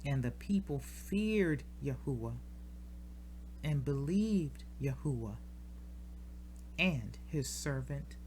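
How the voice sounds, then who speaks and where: A woman reads scripture aloud in a solemn, measured style, pausing deliberately between short phrases. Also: a bass voice, expressive, a little mellow, slight background noise, rough recording. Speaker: scripture reader. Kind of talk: solemn scripture reading from the King James Bible.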